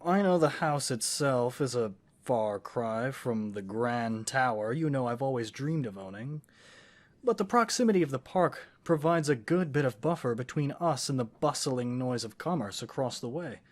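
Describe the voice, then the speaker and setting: A man playing an affectionate, scholarly wizard boyfriend in an intimate fantasy roleplay audio, speaking gently in eloquent, formal phrases. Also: mid-range voice. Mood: earnest, hopeful.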